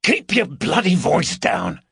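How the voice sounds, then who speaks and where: Male reader as gruff burglar, irritable, bossy, swearing, animated pitch, audiobook character. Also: normal speed, no room sound, studio quality, heavily acted.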